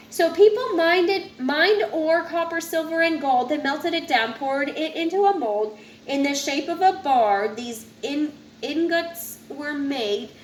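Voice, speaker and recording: A woman reading a rhyming children's picture book aloud in an expressive, sing-song way, occasionally stumbling over a word. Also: high voice. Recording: roomy echo with faint background noise.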